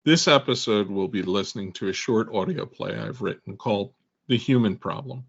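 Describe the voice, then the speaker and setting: Low, gravelly male podcast host. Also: moderate pace, dull audio. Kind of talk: scripted podcast introduction.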